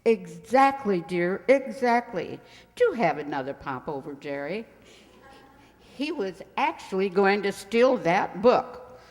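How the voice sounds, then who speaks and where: A woman acting a fluttery, slow-paced lady librarian. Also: medium pitch, sing-song. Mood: chatty, indignant. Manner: fussy, dramatic, digressing. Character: fluttery, chatty, indignant lady librarian, absentminded hostess. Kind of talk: old-time radio mystery acting. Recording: reverberant hall.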